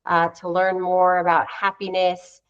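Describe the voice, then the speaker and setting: A woman's voice: lowish voice, completely flat delivery, unhurried, fairly bright tone, dull audio. A woman hosting an interview, introducing her guest in a conversational way. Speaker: interview host.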